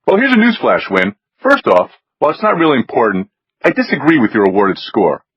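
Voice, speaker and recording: A man as a wry, husky wisecracking everyman. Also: mid-range voice, wildly swooping pitch, fairly quick, no echo, highs cut off. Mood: exasperated. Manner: sarcastic, plain-spoken. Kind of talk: audiobook character voice.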